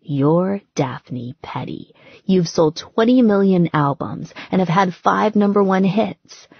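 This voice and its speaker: A stoic male trainer from an audiobook romance, voiced by a woman, speaks firmly and with measured reassurance. The voice is husky and unhurried, and the recording is slightly dull. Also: on the low side, animated, no echo, full character voice. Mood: firm, reassuring. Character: steady, stoic, encouraging male personal trainer.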